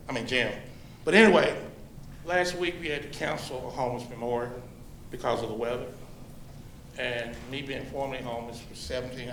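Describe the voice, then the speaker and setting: A man giving earnest, plain-spoken public comment at a community meeting. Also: mid-range voice. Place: large room at a public library. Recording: echoey hall, faint room noise.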